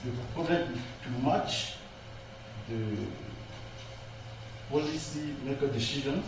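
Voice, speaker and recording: Echoey, slow Cameroonian man, halting speech. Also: mid-range voice. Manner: halting, searching for words. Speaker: government energy director, panelist. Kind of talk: spoken panel remarks in non-native English. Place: conference event room. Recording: very echoey hall, distant mic, noisy.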